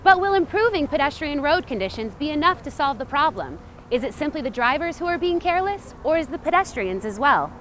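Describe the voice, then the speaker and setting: A woman's voice: high voice, very animated, brisk, hardly any pauses, fairly bright tone, slight background noise. A woman reporter delivering scripted news narration for a television package.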